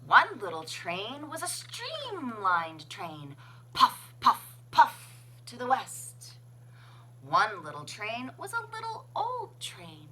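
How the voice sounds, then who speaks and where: A woman reading a children's picture book aloud as a librarian, with expressive, sing-song, deliberate phrasing. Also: on the high side, slight background noise, poor audio. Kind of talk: children's storybook read-aloud.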